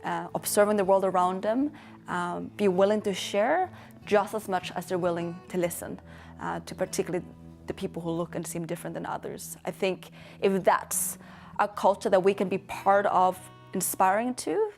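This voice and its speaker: A young woman from western Norway speaks English with a Norwegian accent, earnest and measured, in a reflective interview. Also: fairly low pitch, vocal fry, a little mellow, soft background music. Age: young adult. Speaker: founder of a storytelling organisation, interviewee. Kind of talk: reflective interview monologue to camera. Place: dark indoor studio.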